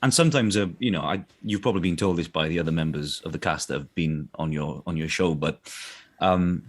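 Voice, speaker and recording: A man's voice: low-pitched, fairly quick. A man with a South Welsh accent chatting casually as an interviewed voice actor, rambling and often correcting himself as he goes. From South Wales. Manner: rambling, self-correcting, checks listener follows.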